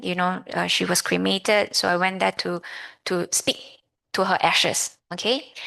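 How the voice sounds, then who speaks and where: Malaysian woman, 30s, lay Buddhist speaker; creaky mid-pitched voice, conversational and halting. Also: fairly bright tone, low-quality recording. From Malaysia, grew up in Kuala Lumpur. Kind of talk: personal Dhamma talk to an audience.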